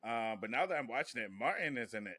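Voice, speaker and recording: A man's voice: medium pitch, expressive, fast, sharp tone. A man hosting a podcast, chatting casually and informally with some swearing. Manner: informal, profane. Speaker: podcast host.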